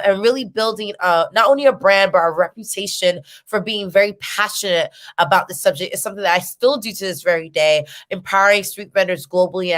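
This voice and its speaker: A woman's voice: mid-range voice, a bit bright. A woman in her thirties with an American accent, presenting online as a startup founder in an earnest, emphatic, motivational way. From United States. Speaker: startup founder and workshop speaker. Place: small indoor room on a video call.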